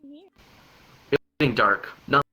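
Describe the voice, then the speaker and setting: A man's voice: medium pitch, wildly swooping pitch, a little slow, sharp tone, soft background music. A man reading a story aloud unrehearsed, often stumbling and repeating words. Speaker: reader of a long joke story.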